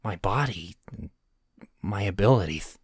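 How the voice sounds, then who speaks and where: A man's voice: on the low side, lively intonation, measured pace, over loud music. A man voicing a sarcastic, swearing young male troll in an audio drama. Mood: sorrowful. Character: foul-mouthed, grieving young male troll.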